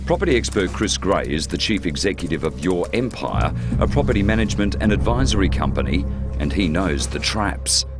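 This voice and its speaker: Male TV reporter, Australian accent, low voice, scripted news narration, loud music bed. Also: big pitch swings, fairly quick.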